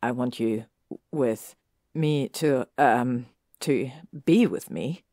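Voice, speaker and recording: A woman reading a fan story aloud, voicing an arrogant, possessive young male wizard in a lowered, clipped drawl. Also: slow, mellow, dry recording, clean studio sound, exaggerated voice. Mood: serious.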